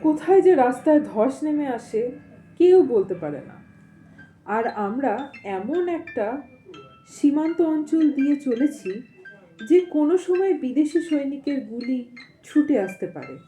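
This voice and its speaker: A woman reading a story aloud as an audio storyteller, in an expressive, suspenseful narrating manner. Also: high-pitched, slow pace, long pauses, soft background music. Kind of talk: dramatic reading of a Bengali ghost story with casual asides.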